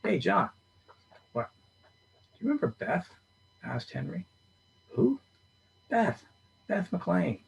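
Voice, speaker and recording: A man's voice: sits high, sing-song, takes it slowly, creaky, pauses often, breathy edge, voice pushed up, full character voice. A man reading as a blunt, wisecracking old man in his sixties, a school friend who teases dryly. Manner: dry teasing jokes.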